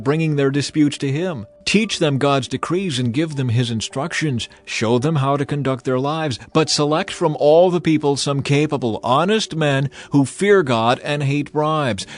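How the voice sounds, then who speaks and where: A man's voice: medium pitch, close to an everyday voice. A man playing an earnest older father-in-law and priest who speaks emphatically in a dramatized scripture reading.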